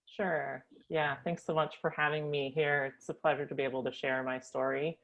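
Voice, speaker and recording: An American woman telling her family story as a guest, thoughtful with frequent pauses and ums. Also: low voice, little pitch movement, muffled recording. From United States. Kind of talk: reflective personal storytelling.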